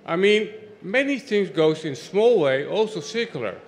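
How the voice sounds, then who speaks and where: A man's voice: high voice, expressive, moderate pace, slight background noise. Chatty dutch man speaking from the floor. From Netherlands. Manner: chatty, loose phrasing. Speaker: audience member. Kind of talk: spontaneous remarks from the floor. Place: large indoor event hall. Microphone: handheld mic.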